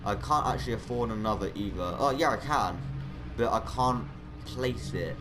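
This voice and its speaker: A man's voice: fairly low pitch, animated, moderate pace, over music. A young man with a stuffed nose giving chatty, rambling commentary over his gameplay, explaining tower placement tips. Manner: chatty, rambling, lots of "like" and restarts. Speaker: gaming channel host.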